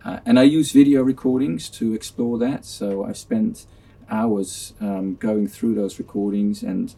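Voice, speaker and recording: A man who is a professor of communication, hosting an academic meeting and then explaining his research in a measured way, often repeating words as he restarts. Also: fairly low pitch, vocal fry, mellow, slightly rough. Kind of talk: casual academic online meeting.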